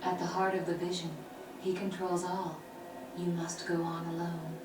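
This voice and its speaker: A woman voicing a calm female sorceress guide with a measured, composed manner, heard from a video game. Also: fairly low pitch, natural voice. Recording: game audio from far off in an echoey room, with noise.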